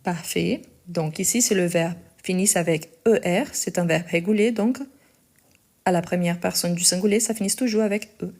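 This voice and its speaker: A woman teaching French in a live lesson, dictating each sentence slowly and patiently explaining the conjugation rules. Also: fairly low pitch, a little mellow. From Portugal. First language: Portuguese.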